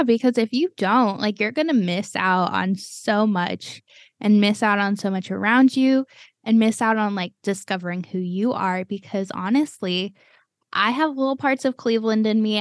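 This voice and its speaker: A woman's voice: mid-range voice, moderate pace, no echo, studio quality. A young American woman hosting a podcast, chatting casually with lots of fillers and little laughs. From United States. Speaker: podcast host. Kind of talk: casual chat.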